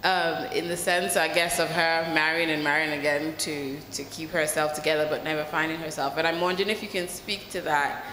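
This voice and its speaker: Bright-voiced woman asking a question. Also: low voice, level tone, brisk, few pauses. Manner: thoughtful, carefully phrasing. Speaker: audience member asking a question. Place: large festival tent with audience. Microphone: handheld mic. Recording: echoey hall, faint room noise.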